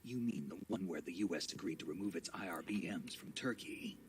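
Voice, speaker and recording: An actor playing a terse, inquisitive special forces soldier in a video game, with a gruff, gravelly, breathy voice. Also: male voice, low voice, brisk, a little hiss or hum, lightly acted. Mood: probing. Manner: terse, questioning. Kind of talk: dramatic video game cutscene acting. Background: music.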